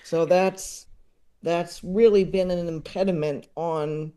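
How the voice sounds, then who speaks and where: Slow-talking man with a mellow voice. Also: on the high side, steady tone, smooth. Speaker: podcast guest. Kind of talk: casual interview chat.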